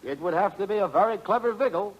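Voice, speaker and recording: An actor playing a pompous, dim crony in 1940s radio comedy, speaking in elaborate, mangled big words. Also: male voice, high voice, animated, quick pace, lo-fi sound. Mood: gossipy. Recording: faintly noisy vintage radio recording.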